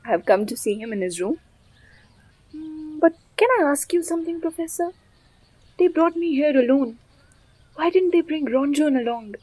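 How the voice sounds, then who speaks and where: A compassionate young woman with a high voice played by an actress in an audio play. She is bold and idealistic, speaking in a heartfelt, expressive and dramatic way, recorded dry and close. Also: normal speed, long pauses, dry recording, low-quality recording. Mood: defiant.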